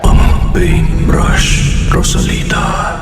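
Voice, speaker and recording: A man playing a sinister dark spirit in a radio horror drama, commanding and menacing as he urges revenge. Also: sits high, little pitch movement. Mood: menacing urging. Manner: commanding, menacing, drawn-out insinuating delivery. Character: sinister male dark spirit urging a girl to take revenge. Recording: roomy, distant voice under loud music.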